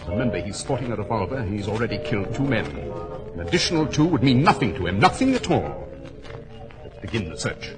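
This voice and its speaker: An actor playing a self-assured gentleman detective in a 1940s radio drama, clipped, precise and authoritative. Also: fairly low pitch, sing-song, quick pace, over music, poor audio. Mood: urgent, cautious.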